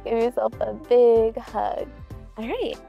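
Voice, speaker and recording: High-pitched, creaky-edged woman hosting children's story time. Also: fairly even intonation, slow, muffled recording, faint music behind. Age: young adult. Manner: exclamatory, encouraging, addressing children directly. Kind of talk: upbeat children's read-along presenting.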